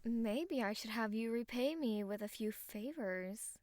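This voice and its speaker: A flirtatious, bossy female fantasy adventurer, acted by a woman for an audiobook. Her voice is somewhat high and recorded dry. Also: a little slow, darkish tone, no room sound, lightly acted. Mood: suggestive, teasing. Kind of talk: audiobook character acting.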